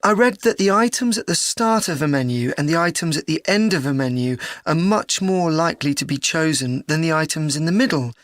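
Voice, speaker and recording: A woman's voice: low voice, expressive, fairly quick, few pauses, no echo, studio quality. A woman playing an earnest university student in a scripted educational dialogue. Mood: earnest, thoughtful. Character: earnest university student discussing a joint presentation.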